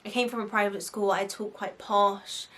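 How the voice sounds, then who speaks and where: A woman's voice: medium pitch, steady tone, moderate pace, a bit bright. A young woman with a Bristolian accent, hosting a faith podcast and giving frank, casual advice full of "like" fillers. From Bristol, England. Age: young adult. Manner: chatty, frank, lots of "like" fillers, frequent laughs.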